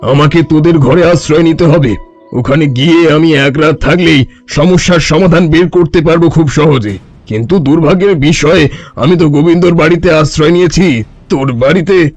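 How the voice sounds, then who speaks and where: A man's voice: fairly high pitch, normal speed, no room sound, lightly acted. A man playing a sly, fraudulent village holy man in a cartoon, pompous and scheming. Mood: presumptuous. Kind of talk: cartoon story dialogue. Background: music.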